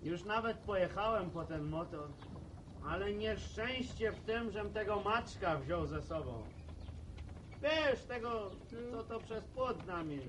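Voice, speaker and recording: Cheeky village guy, real high voice, slow jokey grumbling, noisy old radio recording. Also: lively intonation, a bit bright, recorded from a distance. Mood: wry grumbling. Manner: earthy, jocular, colloquial village talk. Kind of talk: Polish radio serial acting.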